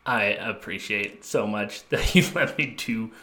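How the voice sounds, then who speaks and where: A man co-hosting a comedy podcast, joking around and doing deadpan bits. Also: lowish voice, unhurried, echoey room, over loud music. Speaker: podcast co-host. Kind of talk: casual comedic podcast chat.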